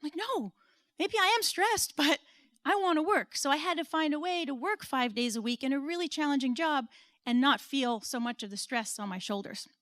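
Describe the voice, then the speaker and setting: A woman's voice: high voice, normal speed, fairly bright tone, no room sound, clean studio sound. An American woman in her forties giving an earnest, personal conference talk, now and then laughing at herself. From United States. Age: 40s. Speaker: conference speaker. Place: stage at an event.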